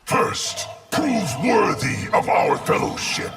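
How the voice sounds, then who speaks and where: An actor voicing a menacing collective of many souls in a fighting game, solemn, with a raspy, breathy growl. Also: male voice, high voice, sing-song, takes it slowly, raised voice, recorded from a distance, over loud music, full character voice. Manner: solemn, speaks as "we". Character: menacing hive of many souls speaking as one being. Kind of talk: video game fighter dialogue.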